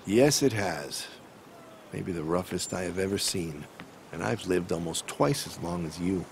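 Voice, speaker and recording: Gravelly, low old newspaperman. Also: expressive, unhurried, noisy background, exaggerated voice. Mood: mournful, reflective. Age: older. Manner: wistful, slightly literary phrasing. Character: kindly, melancholy older newspaperman, reflective mentor. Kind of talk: video game cinematic dialogue.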